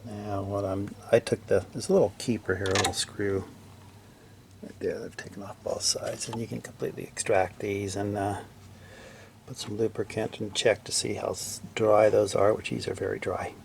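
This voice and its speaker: Low-pitched man with a creaky voice. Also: level tone. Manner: halting, thinking aloud while working. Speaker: repairer demonstrating his work. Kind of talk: casual hands-on repair commentary. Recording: faint background noise.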